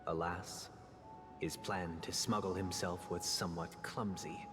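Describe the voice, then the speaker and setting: A man playing a grave, polite, melancholy family head in a video game, speaking in measured, formal monologue with rhetorical pauses. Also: sits low, fairly even intonation. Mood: grave, sorrowful. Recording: long reverb, loud music behind. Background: loud game music.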